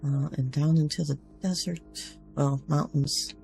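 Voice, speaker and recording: A man hosting a gaming channel, chatting casually through a playthrough while his voice is rough from sinus trouble. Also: on the high side, fairly even intonation, unhurried, a little mellow, faint music behind. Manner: chatty, talks to the game and himself. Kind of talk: casual game commentary.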